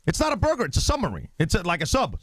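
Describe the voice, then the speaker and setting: Young male radio host, high-pitched and very animated, excitable, blunt banter, dry close studio sound. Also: racing, no echo. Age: young adult. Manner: excitable, crude, emphatic. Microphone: studio microphone, close.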